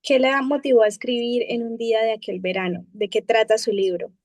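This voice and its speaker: A woman's voice: on the high side, steady tone, brisk, a little mellow, no echo. A woman hosting a book fair interview, speaking in a formal presenting style.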